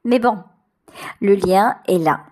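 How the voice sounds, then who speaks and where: A woman giving an unscripted tarot reading directly to the listener, halting and repeating her phrases. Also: mid-range voice, darkish tone.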